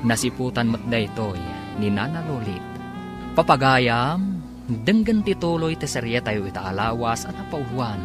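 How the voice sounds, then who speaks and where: A man's voice: medium pitch, big pitch swings, unhurried. A man narrating and announcing a radio drama in scripted, dramatic style. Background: music.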